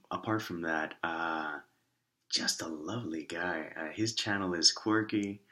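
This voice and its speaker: A man with a raspy voice and a lingering cough, casually rambling through shoutouts with many restarts and asides. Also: a bass voice. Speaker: author and vlogger.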